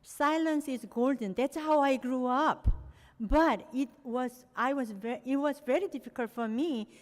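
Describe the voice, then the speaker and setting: Korean-born lady lecturing, higher-ish voice, lots of "you know", echoey hall. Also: measured pace. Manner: frequent "you know" fillers, non-native phrasing. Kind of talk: academic presentation. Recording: long hall reverb.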